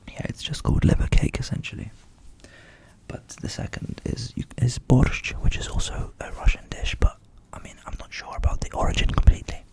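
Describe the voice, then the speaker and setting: A young man who grew up in England with Ukrainian as his first language, whispering softly as an ASMR host answering viewer questions and thinking aloud. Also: fairly quick. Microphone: studio USB microphone.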